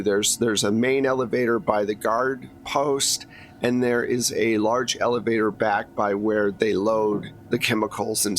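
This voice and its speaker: A man's voice: on the low side, a bit bright, a little hiss or hum. A man running a tabletop game as game master, recapping and narrating in an informal, explanatory way.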